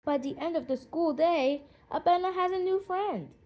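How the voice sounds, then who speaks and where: A woman's voice: very high-pitched, normal speed, darkish tone, soft background music. A woman narrating a children's storybook as an audiobook.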